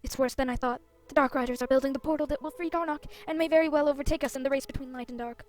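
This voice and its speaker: A woman's voice: sits high, steady tone, racing, darkish tone, faint music behind, lightly acted. A woman reading a grave, wise leader of fantasy riders in a solemn, formal manner.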